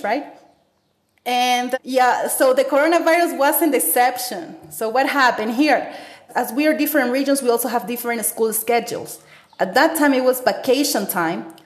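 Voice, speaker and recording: Ecuadorian lady in her 30s, talks lively w lots of ums, kinda high voice, echoey room. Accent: Ecuadorian Spanish. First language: Spanish. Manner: animated, gestures with stories, frequent fillers. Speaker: interviewee, teacher. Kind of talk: conversational interview.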